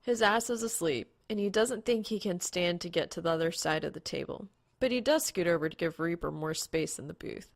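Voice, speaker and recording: Low, creaky woman narrator. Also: brisk, no room sound. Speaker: podfic narrator. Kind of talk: scripted narration of fan fiction.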